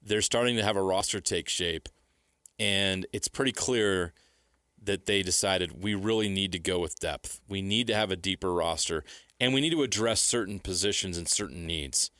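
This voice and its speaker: A man's voice: low voice, normal speed, bright, forceful tone, no room sound, studio quality. An American man co-hosting sports talk, giving analysis emphatically in short, repeated phrases. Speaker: sports radio co-host.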